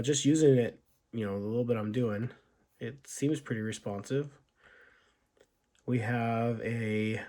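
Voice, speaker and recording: A man talking through an old laptop's setup, his voice hoarse from illness. He thinks aloud with halting pauses and fillers, in a casual vlog manner. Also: mid-range voice, warm tone. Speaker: tech video host. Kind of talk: casual unboxing walkthrough.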